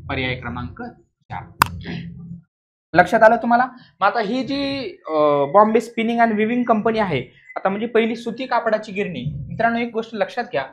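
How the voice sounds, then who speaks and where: A man's voice: high voice, fairly bright tone, a little hiss or hum. A man teaching an exam lesson in a didactic way, repeating key points and often asking short questions to check understanding. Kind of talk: explanatory classroom lesson.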